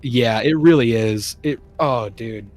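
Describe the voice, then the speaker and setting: A man's voice: mid-range voice, slow pace, darkish tone. A man chatting casually on a stream, rambling enthusiastically and often trailing off and restarting.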